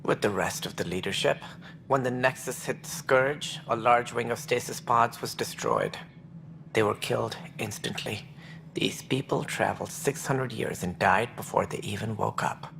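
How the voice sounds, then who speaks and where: An actor playing a stern, proud alien administrator in a video game, speaking in formal, measured, clipped phrases. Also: male voice, fairly low pitch, creaky, a bit bright, slight background noise. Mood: somber. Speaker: alien colony director.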